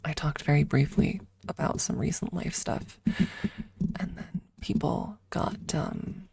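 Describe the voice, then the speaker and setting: Low, husky, hushed ASMR woman. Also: slow. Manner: hushed, often slipping into whisper. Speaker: ASMR streamer. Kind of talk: soft-spoken ASMR storytelling. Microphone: close ASMR microphone.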